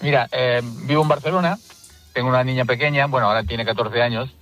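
A man's voice: medium pitch, fast, a bit bright, soft background music. Easygoing male radio caller. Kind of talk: radio phone-in anecdote.

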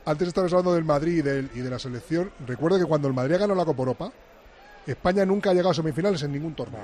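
A man on a radio football show who quotes statistics in fast talk. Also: a little high, a little mellow. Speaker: statistics pundit. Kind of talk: radio sports chat.

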